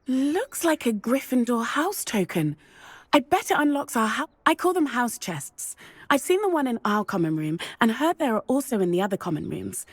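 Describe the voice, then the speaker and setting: A keen schoolgirl witch from a fantasy video game. She is enthusiastic and very expressive, with a high voice in a dry studio sound. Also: moderate pace, slightly rough, no room sound, exaggerated voice. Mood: encouraging. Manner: enthusiastic, encouraging. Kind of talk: video game character dialogue.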